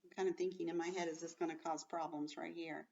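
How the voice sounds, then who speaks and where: A woman's voice: fairly low pitch, flat delivery, fast talker, gravelly, mellow, a little hiss or hum. A woman schoolteacher giving a read-aloud lesson to children, explaining things in simple words and commenting as she goes. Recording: dull sound, distant from the mic.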